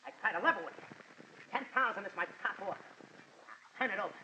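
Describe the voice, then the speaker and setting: An actor playing a menacing, impatient gangster in an old-time radio crime drama. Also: male voice, high-pitched, quick pace, natural voice. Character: male gangster, threatening, impatient tough crook. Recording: muffled, very noisy radio drama recording.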